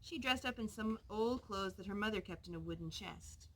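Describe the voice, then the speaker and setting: A woman reading a children's story aloud in an expressive way, putting questions to her young listeners. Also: mid-range voice, normal speed, a little hiss or hum.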